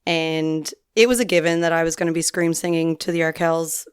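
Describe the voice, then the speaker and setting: Slightly raspy, smooth-voiced chatty woman host. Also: deep voice, level tone, fairly quick, no room sound, studio quality. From Newfoundland, Canada. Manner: chatty, rambling, frequent ums and likes. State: slightly hoarse from singing. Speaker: podcast host. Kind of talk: casual solo podcast chat.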